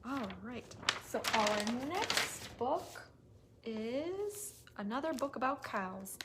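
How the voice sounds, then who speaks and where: A woman hosting a toddler story time, reading aloud with expressive, simple phrasing for small children. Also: fairly high pitch, slow pace. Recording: noisy recording with clicks.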